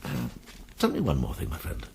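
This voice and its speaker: An actor in a radio drama playing a worldly old monk and former crusader, gruff but tender, with a gravelly, halting, reflective delivery. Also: male voice, a little mellow, natural voice. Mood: hesitant, probing.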